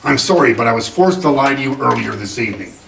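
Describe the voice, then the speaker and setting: An actor playing an imperious, wealthy older uncle in a radio drama, formal and dismissive, with a gravelly voice. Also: male voice, mid-range voice, animated, fast talker, a bit bright. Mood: stern, dismissive. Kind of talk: 1940s radio drama reading. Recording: echoey room, distant mic, faint noise.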